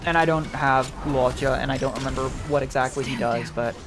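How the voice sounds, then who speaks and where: A man's voice: medium pitch, fairly even intonation, brisk. A young man giving casual, rambling, conversational gameplay commentary as a streamer. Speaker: game streamer. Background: game music.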